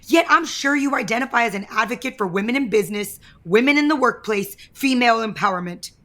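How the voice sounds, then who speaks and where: A woman's voice: a little high, fast talker, fairly bright tone, natural voice. An actress playing a scheming, ambitious young woman in an audio soap opera, with a taunting, self-assured and confrontational manner. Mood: scornful. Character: scheming, brazen ambitious young woman, office power player.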